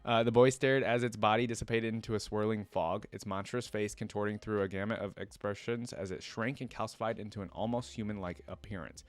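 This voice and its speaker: A man's voice: lowish voice, steady tone, brisk, few pauses, no room sound. A man hosting a reaction video, reading a fantasy story aloud and breaking off for casual comments.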